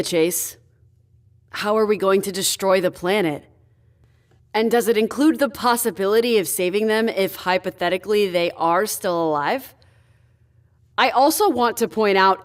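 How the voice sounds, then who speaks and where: A woman playing a sharp-minded, assertive spaceship scientist in an audio drama, speaking in an articulate, direct way. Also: mid-range voice, close to an everyday voice. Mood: urgent, challenging.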